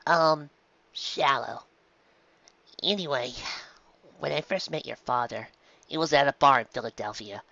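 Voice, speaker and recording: A gentle pony mother, played by a man reading aloud, speaks softly and haltingly in a slightly lifted voice. She sounds apologetic and weighed down by guilt, as in a storybook, on a dull, lo-fi recording. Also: mid-range voice, gravelly, no room sound. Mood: ashamed. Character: guilt-ridden, gentle adult pegasus mare mother. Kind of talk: fan fiction audiobook character voice.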